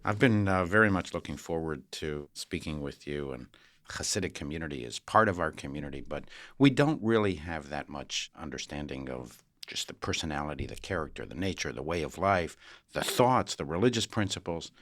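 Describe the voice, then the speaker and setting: A man's voice: extremely low pitch, lively intonation, brisk, fairly bright tone, no room sound, studio quality. A man, a rabbi hosting an interview podcast with scripted introductions and questions.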